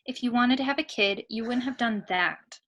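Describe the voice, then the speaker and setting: Bright, teasing woman playing a girlfriend. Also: a little high, moderate pace, lo-fi sound. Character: teasing, exasperated girlfriend, brisk and down-to-earth. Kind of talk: amateur table read acting.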